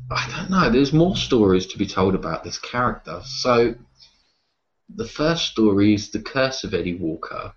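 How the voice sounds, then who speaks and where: A man being interviewed, talking casually and reflectively about his writing, with hesitant pauses. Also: fairly low pitch, highs cut off. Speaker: interview guest, horror writer and publisher.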